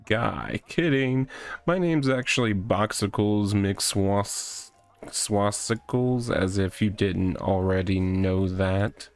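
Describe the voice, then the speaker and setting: A man playing a rambling, gravelly oddball in a box. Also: on the low side, takes it slowly, dry recording, soft background music. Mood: awkward joking. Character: odd, rambling, deadpan-joking man living in a box.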